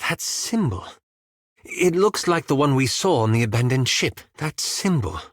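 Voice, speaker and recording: An actor playing a wry, anxious, fastidious elf wizard in a video game, with a dry, polite and hesitant manner. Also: male voice, medium pitch, very animated, no room sound. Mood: curious.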